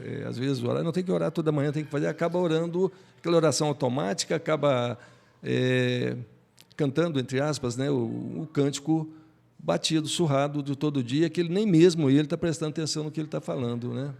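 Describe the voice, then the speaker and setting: An older man, discussing faith conversationally and haltingly, often repeating words as he searches for the next. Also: a little high, vocal fry, a little mellow. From Brazil. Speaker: Bible study panelist.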